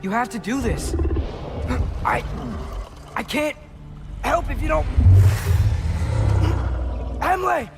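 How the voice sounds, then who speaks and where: A man's voice: extremely high pitch, slow pace, pauses often, heavily acted. A young man playing an anxious, caring teenage boy in a Norse fantasy video game, earnest and often talking to himself. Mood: gentle, urging. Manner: expressive, talks to himself, earnest. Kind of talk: video game cutscene acting. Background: game music.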